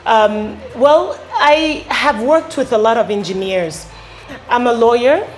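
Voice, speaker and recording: Middle-aged woman, interview guest, halting speech, lapel mic, faint room noise. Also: a little high, rough recording. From Ghana. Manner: halting, many fillers and restarts. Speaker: company founder, interview guest. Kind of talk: casual interview answers. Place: showroom with electric bikes.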